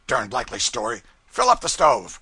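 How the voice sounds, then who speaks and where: A man's voice: a little high, sing-song, moderate pace, gravelly, slightly rough, no room sound, pitched above the performer's own voice, heavily acted. A man voicing a bullying, irritable older riverboat pilot who barks and sneers. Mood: shouting, furious. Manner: barking, shouting, sneering.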